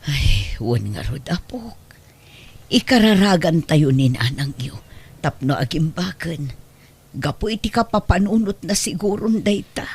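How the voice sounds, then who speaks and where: A woman's voice: low-pitched, very animated, measured pace, a little mellow, husky, full character voice. A woman with an Ilocano accent playing a worn, protective grandmother in an audio drama, pleading and sighing with emphasis. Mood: gentle, weary. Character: worn, protective Ilocano grandmother soothing her troubled daughter.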